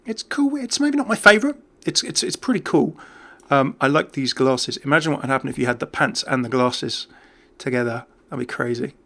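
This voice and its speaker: Brief: male gaming YouTuber, bright forward voice, mid pitch, rambling casual commentary, thinks aloud. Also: lively intonation, brisk, creaky, hardly any pauses. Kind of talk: casual off-the-cuff game commentary.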